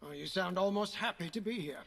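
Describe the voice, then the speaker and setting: An actor playing a stern, sardonic older uncle who gives commanding sword lessons in an audio game, with a raspy voice. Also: male voice, high voice, a little fast, a bit bright, heavily acted. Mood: sardonic, instructive. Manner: commanding, dry sarcasm. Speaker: sword instructor. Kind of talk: dramatic audio game acting.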